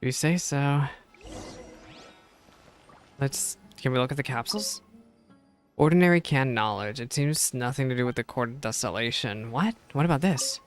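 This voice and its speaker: A man's voice: medium pitch, lively intonation, normal speed, long pauses, soft background music. A man giving casual live gameplay commentary as a streamer, self-deprecating and thinking aloud. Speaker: game streamer. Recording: stream with faint background noise.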